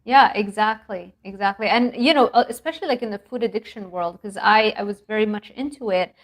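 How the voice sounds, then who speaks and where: An animated woman from Lebanon in her thirties talks through a video call. She speaks casually, laughs often, and restarts her sentences as she goes. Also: mid-range voice, brisk. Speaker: guest nutrition researcher. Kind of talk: casual interview talk.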